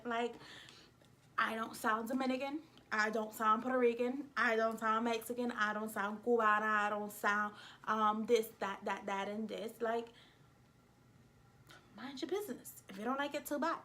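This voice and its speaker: A vlogger with a New York accent speaks straight to her camera, emphatic and openly indignant, her voice sitting a little on the high side. Her delivery is casual and expressive. A faint hiss of background noise runs underneath. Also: moderate pace. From United States.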